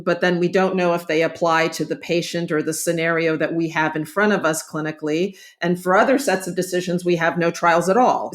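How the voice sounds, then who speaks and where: A woman hosting an interview in a measured, articulate way. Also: on the low side. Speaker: interview host, journal editor. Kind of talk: interview conversation.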